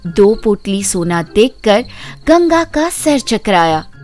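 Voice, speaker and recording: A woman's voice: mid-range voice, very animated, slow pace, darkish tone, faint music behind. A woman narrating a scripted children's story as a storyteller.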